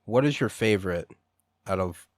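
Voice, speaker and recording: Low-voiced host, dry close sound. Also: male voice, lively intonation, normal speed, dry recording. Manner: chatty, repeats short reactions. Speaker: radio show host. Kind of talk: casual interview chat.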